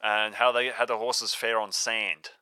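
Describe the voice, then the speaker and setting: Bright, forceful man improvising in role-play. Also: fairly low pitch, flat delivery, a little slow, clear, resonant tone, no room sound. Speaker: player in an improvised role-playing audio drama.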